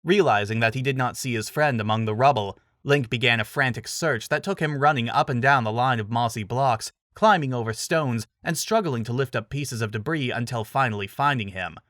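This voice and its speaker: A male narrator reads a dramatic fantasy story from a script. His voice sits at a middle pitch, and the sound is dry with no room echo. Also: fairly quick, few pauses, fairly bright tone.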